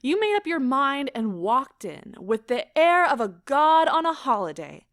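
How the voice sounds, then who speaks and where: An actress plays a wounded woman in a romantic audio drama, pleading with theatrical intensity. Her voice is high and she takes her words slowly, weighing each one with impassioned feeling. The sound is close and dry. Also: sing-song, a bit bright, dry recording, heavily acted. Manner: theatrical, impassioned, deliberate delivery. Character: anguished, pleading woman leaving a broken love affair. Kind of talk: stage-style audio drama acting.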